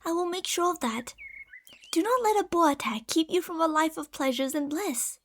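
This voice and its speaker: A woman playing a possessive, tender but deceitful lamia in an ASMR roleplay, speaking softly and formally, at times slipping into whispers. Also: very high-pitched, expressive, moderate pace, a little hiss or hum. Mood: devoted, pleading. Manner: soft, formal, soothing speech with breathy whispers.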